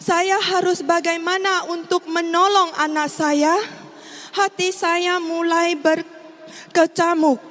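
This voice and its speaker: Very high-pitched Indonesian woman in a hall. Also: a bit bright. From Riau, Indonesia. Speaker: testimony speaker. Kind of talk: prepared personal testimony speech. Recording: long hall reverb, faint background noise.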